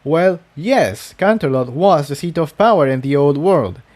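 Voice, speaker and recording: Man playing a cautious, mellow-voiced male unicorn. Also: on the high side, expressive, unhurried. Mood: explaining. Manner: earnest, wary. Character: cautious, serious, learned male unicorn adventurer.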